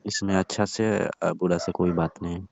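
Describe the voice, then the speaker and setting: Man acting a low, sulking jealous young lover. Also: level tone, moderate pace, vocal fry, noisy background. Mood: stubborn. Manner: sulky, curt, complaining. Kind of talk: scripted prank-style phone call drama in colloquial Hindi. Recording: dull lo-fi phone call recording.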